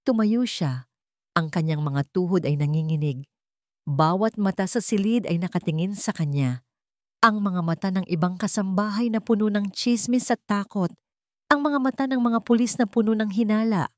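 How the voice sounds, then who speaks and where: A woman's voice: medium pitch, moderate pace, a little mellow, dry recording. A woman with a Filipino accent narrating a scripted love story in a solemn, emotive, dramatic way.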